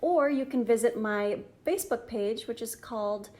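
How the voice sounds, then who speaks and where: A woman reading a children's story aloud in an enthusiastic, theatrical, expressive manner. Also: a little high, moderate pace. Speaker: storybook reader, channel host.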